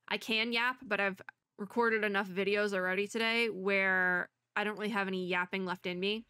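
A woman's voice: mid-range voice, sharp tone, clear, resonant tone, dry recording, studio quality. A young woman casually talking through a video game, chatty and rambling, with a stuffy nose. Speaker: streamer. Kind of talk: casual gameplay commentary.

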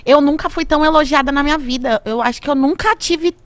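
A woman's voice: sits high, fast talker. A young Brazilian woman, a rapper guest, telling stories in slangy casual chat on a podcast, close to a studio mic. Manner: slangy storyteller, playful. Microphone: studio mic on boom arm.

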